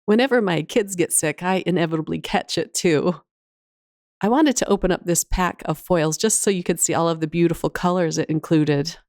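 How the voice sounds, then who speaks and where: A woman's voice: lowish voice, moderate pace, a little mellow, no room sound, clean studio sound. Woman with a slight cold, chatty crafter. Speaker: craft channel host. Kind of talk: casual craft tutorial voice-over.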